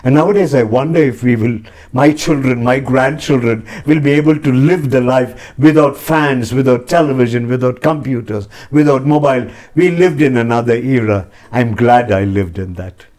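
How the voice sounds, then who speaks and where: A man's voice: mid-range voice, normal speed, darkish tone. An older man from India with an Indian English accent, giving earnest, reflective answers as a church representative in a TV interview.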